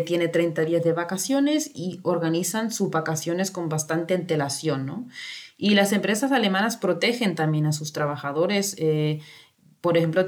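A woman's voice: lowish voice, a little mellow. A woman speaking as a guest in a casual interview, explaining at length with fillers and tag questions.

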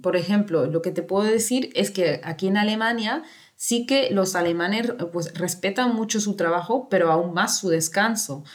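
A woman's voice: mid-range voice, moderate pace. A woman speaking as a guest in a casual interview, explaining at length with fillers and tag questions.

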